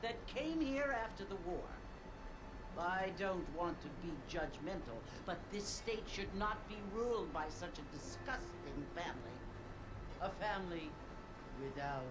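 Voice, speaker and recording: A snobbish older lady of the old West in a game cutscene. Her delivery is prim and highly animated, rising and falling with disapproval. Loud noise runs behind the captured audio. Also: measured pace. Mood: disdainful. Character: haughty, class-conscious older society lady of the frontier West. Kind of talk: video game cutscene acting.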